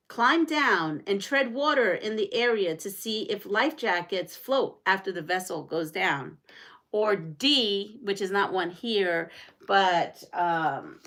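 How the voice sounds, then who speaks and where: A woman hosts a casual live stream, talking at an unhurried pace in a mid-range voice. She chats with her viewers, reads their comments and quiz questions aloud, and laughs often between lines. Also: medium pitch, a bit bright. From Puerto Rico. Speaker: quilting channel host.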